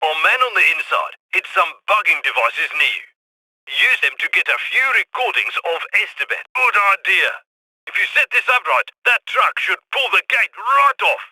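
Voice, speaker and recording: Mission handler; crisp orders, high bright voice, dry studio sound. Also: male voice, big pitch swings, normal speed, dry recording, full character voice, rough recording. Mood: commanding. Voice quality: crisp commanding instructions. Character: brisk male heist planner on comms, British. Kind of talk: video game voice lines.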